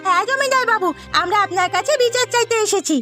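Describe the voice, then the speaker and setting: Casting: woman to voice eager cartoon village sister, piercingly high-pitched, earnest, pleading, light music behind. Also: fast, bright, forceful tone. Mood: distressed. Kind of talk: Bengali cartoon voice acting.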